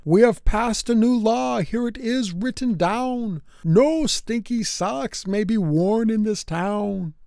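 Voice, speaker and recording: A woman's voice: on the high side, slow pace, creaky, hardly any pauses, dark tone, no echo, clean studio sound, pitched above the performer's own voice. A woman voicing an official storybook sheriff who proclaims orders from horseback.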